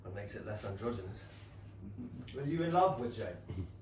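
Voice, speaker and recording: An actor playing a proud, aloof Regency gentleman with a formal, dry, clipped manner. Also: fairly low pitch, sing-song, moderate pace. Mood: probing. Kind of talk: period comedy stage acting. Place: small London theatre. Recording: very echoey theatre, far mic, faint noise.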